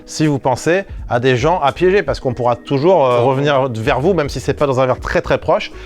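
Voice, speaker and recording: Fast-talking man hosting casual chat. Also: mid-range voice, faint music behind. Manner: animated, talks in quick bursts with restarts. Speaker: prank show host. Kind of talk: casual behind-the-scenes chat.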